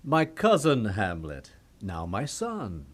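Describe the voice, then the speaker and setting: A man's voice: on the low side, huge pitch swings, slow pace, husky, exaggerated voice. A man playing a commanding middle-aged usurper king, grandiose and lecturing, in a theatrical Shakespearean puppet play. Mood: falsely kind. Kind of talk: theatrical Shakespearean stage acting for puppet show.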